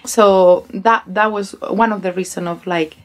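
A woman's voice: mid-range voice, fairly even intonation, a little slow. Woman, Spanish accent, university staff, hesitant conversational podcast speech. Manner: hesitant, many fillers and restarts.

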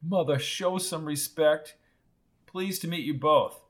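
A man's voice: fairly high pitch, takes it slowly. A man voicing a lanky younger man, a polite and embarrassed son.

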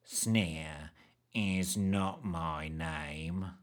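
A man voicing a hunched, emaciated ratlike man who drawls in a monotone, in a storybook reading. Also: deep voice, very slow, pitched below the performer's own voice. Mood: menacing.

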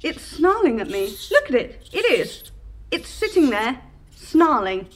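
A woman's voice: high-pitched, big pitch swings, measured pace, slight background noise. A woman playing a snooty, impatient girlfriend in a comedy audio drama. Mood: alarmed, disgusted.

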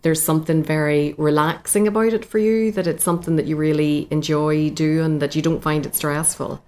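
A woman with a low, mellow voice asks questions in a conversational interview. She sounds warm and affirming, reflecting back what her guest has said. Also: fairly even intonation, normal speed, slight background noise.

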